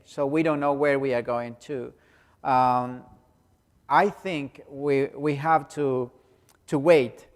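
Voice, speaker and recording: A Salvadoran man with a Spanish accent speaks very slowly and haltingly, circling back over his own words. His voice sits somewhat high for a man. The recording carries the long reverb of a large hall. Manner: slow, halting, many restarts and fillers. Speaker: pastor. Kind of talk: speaking to a congregation in non-native English.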